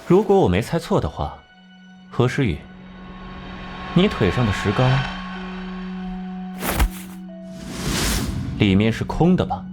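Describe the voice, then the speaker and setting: A man playing a sharp, cocky detective in an animated drama, smugly laying out deductions with theatrical rhetorical questions. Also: mid-range voice, moderate pace, over music, close to an everyday voice. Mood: smugly probing.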